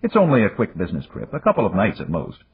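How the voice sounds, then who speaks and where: A man voicing a suave, casual businessman in an audiobook, breezy and gallant with a gently teasing way of talking. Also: lowish voice, huge pitch swings, quick pace, breathy edge, pitched above the performer's own voice, heavily acted. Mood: casual, pleased. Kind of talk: audiobook character voice. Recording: echoey room, muffled narrow-band sound.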